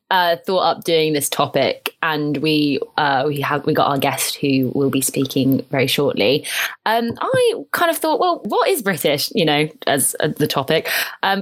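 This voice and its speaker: A young woman from the UK co-hosting a podcast in casual chat, talkative and quick to laugh. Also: lowish voice. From United Kingdom. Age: young adult. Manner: chatty, reads out quotes, laughs often. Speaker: podcast co-host.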